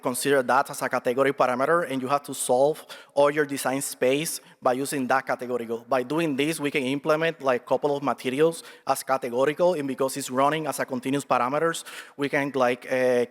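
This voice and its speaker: A man's voice: medium pitch, in a large hall. A student presenter, a man, explaining technical results with many fillers and restarts. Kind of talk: technical pitch and answering questions.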